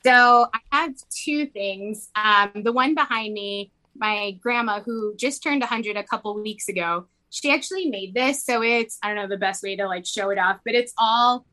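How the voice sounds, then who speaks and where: A woman's voice: medium pitch, moderate pace, hardly any pauses, pushed and bright, poor audio. A young woman who grew up in Mexico, talking casually in an interview, rambling with lots of "like" fillers. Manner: rambling, heavy use of "like" fillers.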